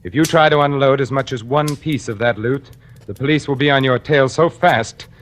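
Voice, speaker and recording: An actor playing a cultured, scheming painter in a 1940s radio thriller, refined, theatrical and deliberate in manner. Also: male voice, medium pitch, lightly acted. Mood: coolly controlling. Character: cultured, scheming male painter covering up a murder. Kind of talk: 1940s radio drama acting, first-person suspense narration. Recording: vintage radio broadcast with music.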